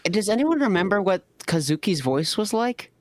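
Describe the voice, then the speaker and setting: A woman's voice: mid-range voice, big pitch swings, moderate pace. A woman chatting casually and jokingly as one reader in a comic group reading.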